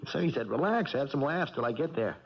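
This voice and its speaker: Dull old radio recording, man playing young American boxer, creaky medium voice, confident, eager, plainspoken, colloquial. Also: medium pitch, animated, a little fast, lightly acted. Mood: cocky, eager. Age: young adult. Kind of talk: 1970s radio drama acting. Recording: dull 1970s radio broadcast.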